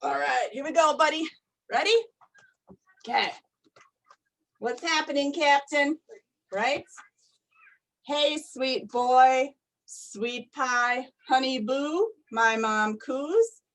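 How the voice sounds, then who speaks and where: A woman reading picture books aloud to young children, expressive and deliberate, often putting questions to her listeners. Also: high voice. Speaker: storytime reader for young children. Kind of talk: animated picture-book read-aloud to children.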